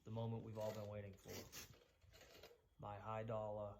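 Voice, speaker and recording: A man's voice: fairly low pitch, monotone, moderate pace, background noise. A man with a Boston accent giving a casual, chatty how-to demonstration in his home workshop, with loose folksy asides. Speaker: DIY woodworking channel host.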